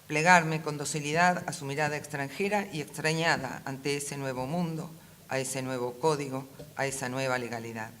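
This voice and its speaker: A woman with a Rioplatense Argentine accent reads a prepared talk aloud in a measured, formal, literary manner as a panel speaker. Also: a bass voice, fairly even intonation, fairly bright tone. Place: conference room at a book fair. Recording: faint ambient event noise.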